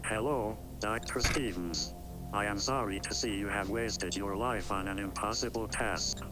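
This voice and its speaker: A man voicing a calm, courteous, quietly ominous artificial intelligence with measured, formal delivery in an analog horror story. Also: lowish voice, loud music behind the voice, lightly acted. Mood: calm, wistful. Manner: measured, formal, speaking in short deliberate statements. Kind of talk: analog horror scripted acting.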